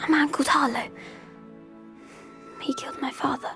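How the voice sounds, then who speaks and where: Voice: high-pitched, steady tone, normal speed, creaky, bright, forceful tone, in a whisper, faint music behind, full character voice. A performer voicing a frightened, earnest little wizard boy in a video game. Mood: shaken.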